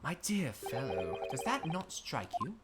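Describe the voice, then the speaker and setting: A woman voicing a calm, superior gentleman detective who talks in a deliberate, lecturing way, over game music. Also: extremely low pitch, full character voice. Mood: lofty. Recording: game music playing underneath.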